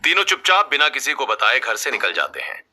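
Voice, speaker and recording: A man's voice: mid-range voice, very animated, a little fast, a little hiss or hum. A man reading a scripted family story as its narrator. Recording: music bed under the voice. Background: loud background music.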